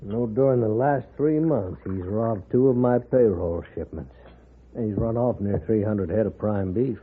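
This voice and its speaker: Wealthy Western rancher: American, cordial yet stubborn, husky delivery, thin old radio broadcast sound. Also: male voice, on the low side, moderate pace. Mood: aggrieved, insistent. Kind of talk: 1950s Western radio acting. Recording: narrow-band 1950s radio recording.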